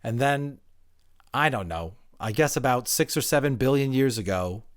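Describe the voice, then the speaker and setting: A man acts out an educated male student in an audiobook novel, unsure and a little defensive. He gropes for words and thinks aloud, his pitch rising and falling in a lively, halting way. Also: lightly acted. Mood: uncertain, groping. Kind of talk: dramatic audiobook character acting.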